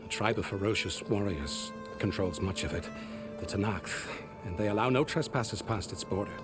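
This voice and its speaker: An actor plays a cautious, courteous man of rank among a tribe in a video game. His voice is fairly low, with formal, measured phrasing and a little hesitation. Music plays clearly behind. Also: close to an everyday voice. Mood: cautious, explanatory. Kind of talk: video game cutscene acting.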